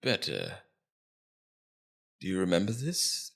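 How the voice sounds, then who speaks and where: A man playing a suave, menacing demon king in an ASMR roleplay, coaxing and condescending in a slow, deliberate, domineering way. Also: low-pitched, wildly swooping pitch, gravelly, fairly bright tone, full character voice. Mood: hypnotic coaxing. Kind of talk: ASMR roleplay character acting.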